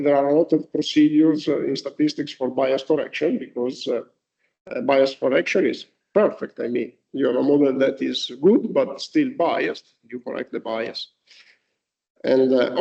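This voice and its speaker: A man whose first language is Italian gives a university lecture, speaking haltingly with many restarts and fillers. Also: mid-range voice, vocal fry, dark tone. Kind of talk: lecture to students. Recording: dull lo-fi recording.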